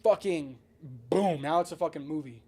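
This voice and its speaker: A man co-hosting a podcast in casual, excitable, slang-filled chat with some swearing. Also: on the high side, moderate pace. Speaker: podcast co-host.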